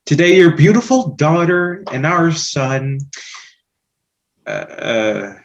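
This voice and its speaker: Woman doing exasperated scheming parent in a stage comedy, sarcastic, slow pace, pitch goes up and down a lot. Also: expressive, heavily acted. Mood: strained politeness. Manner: theatrical, sarcastic. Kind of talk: comedic stage play reading.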